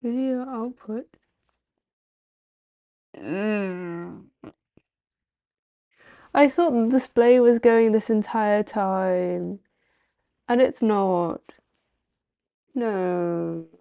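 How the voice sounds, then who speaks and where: A woman's voice: medium pitch, drawn-out words, vocal fry, long pauses, warm tone. A woman streamer chatting casually on a livestream while playing a story game.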